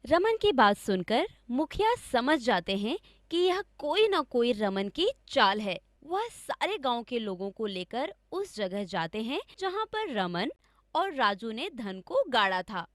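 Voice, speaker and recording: A woman's voice: fairly high pitch, sing-song, measured pace, no echo, clean studio sound. A woman narrating a scripted story for children as a storyteller.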